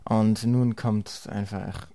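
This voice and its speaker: A man's voice: on the low side, fairly even intonation, slow pace, creaky, mellow, dry recording, clean studio sound. A man giving casual, sarcastic commentary as he presents clips.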